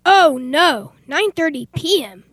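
A young woman playing a fussy, wealthy, oblivious gentleman in an amateur old-time radio play. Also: sits high, lively intonation, takes it slowly, no room sound, clean studio sound. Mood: polite, breezy. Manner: fussy, exclamatory, posh phrasing.

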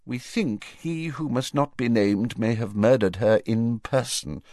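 A man's voice: medium pitch, a little slow, a little mellow, dry recording, clean studio sound, voice pushed up, natural voice. A man voicing a flustered, defensive and weary older government minister in an audiobook, with agitated, emphatic delivery. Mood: miserable, weary.

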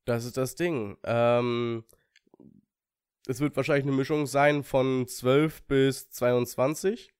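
A slightly hoarse young man co-hosting a podcast in casual chat, relaxed, with fillers and short pauses. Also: mid-range voice, no room sound, clean studio sound. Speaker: podcast co-host.

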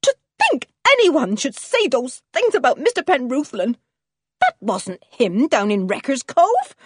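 A woman's voice: mid-range voice, huge pitch swings, moderate pace, a little mellow, slightly rough, no echo, clean studio sound, exaggerated voice. A woman voicing an indignant farmer's wife in a storybook, fiercely loyal to her husband and emphatic in her scolding. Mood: angry, worried. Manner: emphatic, scolding, repeats herself.

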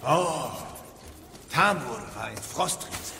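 An actor playing a knowing, wry magical advisor in a video game audio drama, explaining things chattily. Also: male voice, medium pitch, expressive, slow pace. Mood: solemn, explaining. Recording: long reverb, clear background noise.

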